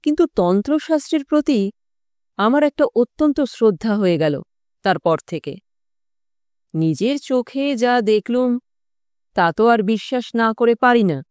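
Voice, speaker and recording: A woman's voice: fairly high pitch, expressive, unhurried, long pauses, a little mellow, no room sound, clean studio sound. A woman voicing a worldly-wise male tantric occultist recounting his wandering youth in an audiobook. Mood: reminiscing. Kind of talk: audiobook storytelling.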